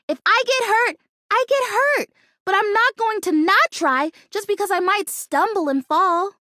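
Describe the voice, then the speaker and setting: A bubbly little fake houseplant from a video game, with a very high, lively voice that leaps around. She is naive and stubbornly hopeful, chattering emphatically with big dramatic flair. The sound is close and dry. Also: no echo. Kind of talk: animated video game character acting.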